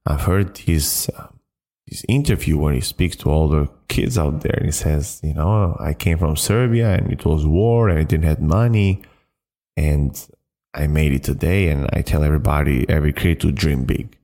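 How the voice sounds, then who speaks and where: A man with a deep, mellow voice hosts a motivational podcast on his own. His phrasing is halting and emphatic, often circling back to repeat words. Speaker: podcast host. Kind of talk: motivational solo podcast talk.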